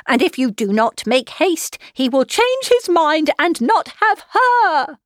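A woman's voice: high voice, very animated, slow pace, no room sound, clean studio sound, pitched above the performer's own voice, heavily acted. A woman voicing a flustered, scheming middle-aged mother in a classic novel, exclamatory, insistent and fussy. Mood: frantic. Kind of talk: audiobook character voice, classic novel.

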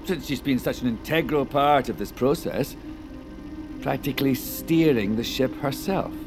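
Male tech visionary, acted, commanding showman, mid-pitched and lively, music beneath, audio drama. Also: normal speed, natural voice. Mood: controlling, grand. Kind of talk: audio drama acting, presenter-like. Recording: dramatic music score behind.

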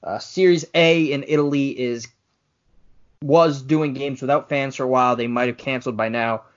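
A man's voice: mid-range voice, steady tone, moderate pace, highs cut off. A man co-hosting a casual sports podcast, chatty and wry as he relays news and teases his co-host.

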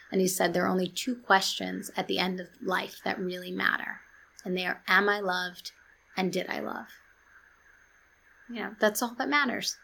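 Woman, therapist guest, calm voice, earnest, reflective, gravelly, bright. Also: mid-range voice. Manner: earnest, searching, restarts and quotes books. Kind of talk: reflective interview talk.

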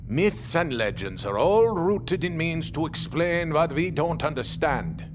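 An actor playing a grizzled older seafarer, brooding and theatrical, who warns fervently of monsters in an audio drama. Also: male voice, fairly high pitch, animated, noisy background. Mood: fervent, scolding. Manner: slow, brooding, theatrical storytelling that swells to intense warnings. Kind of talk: audio fiction drama acting. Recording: dramatic music behind the voice.

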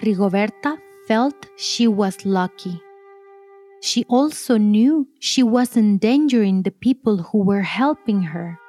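A woman narrating a scripted biographical story in a measured, deliberate storytelling manner. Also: medium pitch, animated, dark tone, soft background music.

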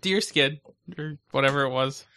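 A man's voice: mid-range voice, normal speed, sharp tone, clean tone. A man co-hosting a podcast in casual, conversational back-and-forth. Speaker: podcast co-host. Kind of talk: casual chat.

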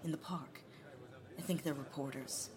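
A woman playing an affectionate middle-aged mother in a naturalistic audio drama, gentle and teasing. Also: low voice, fairly even intonation, normal speed, crowd noise, close to an everyday voice. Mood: matter-of-fact.

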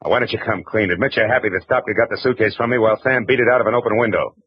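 An actor playing a wisecracking hard-boiled private eye who narrates and needles suspects in a tough, sardonic manner, in a 1940s radio drama recording. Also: male voice, on the low side, racing, breathy edge, no echo. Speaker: narrator and lead. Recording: hissy, dull old radio recording.

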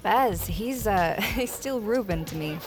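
An actress playing a friendly, teasing, curious young woman in an audio drama, casual and creaky-voiced. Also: mid-range voice, animated, unhurried, faint music behind, lightly acted. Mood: chatty. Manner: playful, casual. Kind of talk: naturalistic audio fiction acting.

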